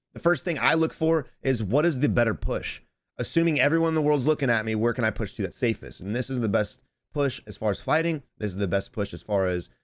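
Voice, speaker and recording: Man, 30s, rapid speech, slightly hoarse, casual game coaching, home desk mic. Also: medium pitch. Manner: rapid, repetitive, advice-giving. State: slightly hoarse from screaming on stream. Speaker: gaming coach and streamer. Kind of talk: casual gameplay commentary and coaching. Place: home streaming setup indoors.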